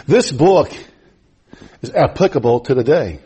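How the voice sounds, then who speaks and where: A man preaching a sermon, a native German speaker, who climbs into high emphatic passages and chuckles between phrases. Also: expressive, a little slow, mellow, breathy edge.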